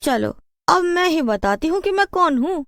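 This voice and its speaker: A woman's voice: very high-pitched, animated, moderate pace, vocal fry, a bit bright, no echo, studio quality, pitched above the performer's own voice, full character voice. A woman voicing a bossy, scheming wife with a haughty, mean manner in a cartoon story. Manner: commanding, mocking.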